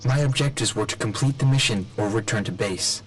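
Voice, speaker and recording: An actor playing an emotionless military robot drone in a video game, giving literal, clipped status reports. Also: male voice, on the low side, a little fast, background noise, close to an everyday voice. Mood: impassive, reporting.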